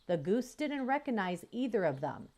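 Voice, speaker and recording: A woman reading a children's chapter book aloud in an expressive, lively way. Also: mid-range voice, normal speed. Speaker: storyteller. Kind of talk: children's audiobook read-aloud.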